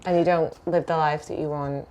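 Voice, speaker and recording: A woman's voice: sits low, fairly even intonation, fairly quick, a little mellow. A woman hosting an interview, asking questions and encouraging her guest with affirmations. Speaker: interviewer, host.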